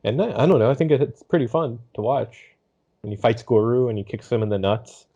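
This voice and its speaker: A man's voice: mid-range voice, brisk, mellow. A man chatting casually on a podcast panel in an understated, hesitant way, on a muffled recording. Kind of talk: casual chat. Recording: dull, muffled lo-fi sound.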